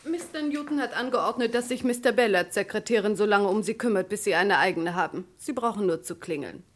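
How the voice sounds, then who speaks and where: A prim, starchy office secretary voiced by an actress in a vintage radio drama. She speaks at a middling pitch, clipped and proper, always correcting how people are addressed. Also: brisk, slight background noise, close to an everyday voice. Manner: curt and formal, correcting others. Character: prim, stiff female office secretary, fussy about formality. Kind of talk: 1950s-80s radio play acting.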